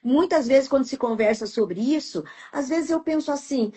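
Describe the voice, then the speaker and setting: A woman's voice: high-pitched, moderate pace, dark tone. A woman hosting a conversational interview, reflective and raising her own doubts. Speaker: podcast host.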